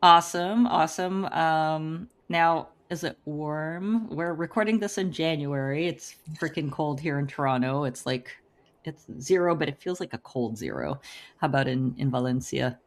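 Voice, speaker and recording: A woman's voice: low voice, normal speed. A woman hosting a podcast interview in a bubbly, upbeat way, laughing often. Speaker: podcast host.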